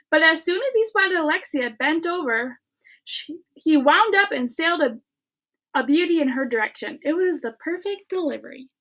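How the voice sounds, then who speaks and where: A woman reading a children's book aloud in an expressive way, with casual chat to her listeners. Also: high voice, low-quality recording.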